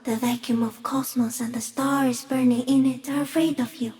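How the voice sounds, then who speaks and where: A woman voicing an ancient, shy giant stick insect in a video game, gentle and solemn, speaking poetically with hushed, eerie wonder. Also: sits high, steady tone, moderate pace, gravelly. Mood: solemn, awed. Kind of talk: slow poetic game monologue.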